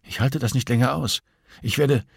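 A man's voice: mid-range voice, normal speed, vocal fry, breathy edge, dry recording, studio quality, pitched above the performer's own voice. A man voicing an anxious female police investigator in an audiobook, flustered under pressure, hesitant and agitated as they grope for details. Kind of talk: audiobook dialogue acting.

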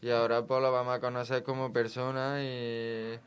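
A man hosts a loose podcast chat, his voice smooth and resonant. He rambles, cracks jokes and leans on fillers, at a middling pitch. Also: little pitch movement, normal speed. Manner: rambling, jokey, many fillers. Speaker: podcast host.

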